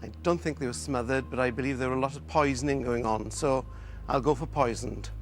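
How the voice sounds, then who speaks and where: A man's voice: mid-range voice, a little fast, soft background music. A man in his fifties from Wales, a quiz contestant answering and thinking aloud in a TV studio. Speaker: quiz contestant, school teacher.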